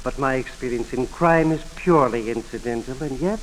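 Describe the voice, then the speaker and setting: An actor playing a pedantic, polite professor and amateur sleuth in a 1940s radio mystery, speaking a little hesitantly. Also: male voice, medium pitch, a little fast, slight background noise, natural voice, poor audio. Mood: measured, reminiscent. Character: slightly pedantic, smallish gentleman psychology professor, amateur sleuth. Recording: old 1940s radio broadcast.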